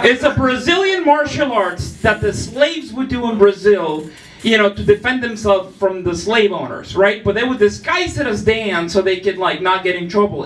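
A man from Mexico with a Mexican Spanish accent, doing an animated stand-up set on a small club stage. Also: sits high, echoey room. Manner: animated, acts out voices of others. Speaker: stand-up comedian. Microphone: handheld mic. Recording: club audience laughing, mic heard from a distance.

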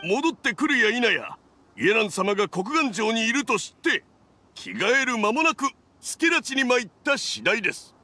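A man's voice: very high voice, sing-song, moderate pace, bright, forceful tone, heavily acted. An actor voicing an anxious, earnest young male subordinate in a video game, deferential, apologetic and easily flustered. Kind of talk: Japanese video game voice acting.

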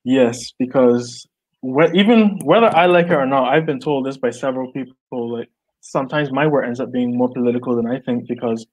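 A man's voice: medium pitch, fairly quick, low-quality recording. A young man from Barbados, an artist, talking over a video call in a small room at home, earnest and reflective, often restarting his sentences with "like". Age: young adult. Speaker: artist guest. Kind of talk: casual video call interview. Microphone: earbuds on a video call.

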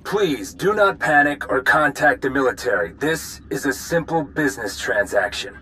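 A man's voice: mid-range voice, lively intonation, bright, forceful tone, slight background noise. An actor playing a brazen pirate boss in a video game, blustering and offhand as he broadcasts ransom demands. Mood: grandly businesslike. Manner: blustering, offhand, slow delivery with self-interruptions.

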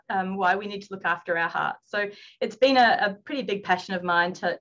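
A woman in her forties from New Zealand with a New Zealand accent, giving an online veterinary seminar in a relaxed way with many fillers and restarts. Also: medium pitch, muffled recording. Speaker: veterinary lecturer.

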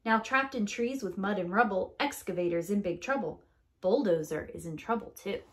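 A woman in her thirties reading a rhyming picture book aloud to young children in an expressive, deliberate storytelling manner. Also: mid-range voice. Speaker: preschool teacher. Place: small indoor room with bookshelves.

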